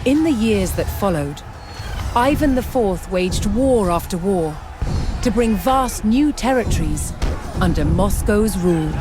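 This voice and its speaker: A woman reading scripted historical narration for a strategy game, in a measured, dramatic storytelling delivery. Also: mid-range voice. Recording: music under the voice. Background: background music.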